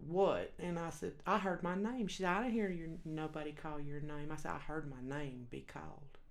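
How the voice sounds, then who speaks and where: A woman's voice: deep voice, fairly even intonation, normal speed, creaky. A woman with a Texas accent, a guest minister sharing her testimony in an earnest storytelling way. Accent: Texan. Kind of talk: personal testimony.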